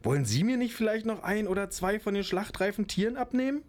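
A man's voice: high voice, lively intonation, quick pace, a little mellow. A man playing a chatty, practical rabbit breeder in an amateur radio play. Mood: flustered.

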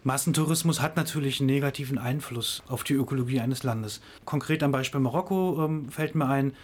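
A man's voice: mid-range voice, fairly quick, darkish tone, breathy edge. A man answering interview questions, explaining thoughtfully with frequent hesitations and fillers. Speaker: interviewed expert on tourism.

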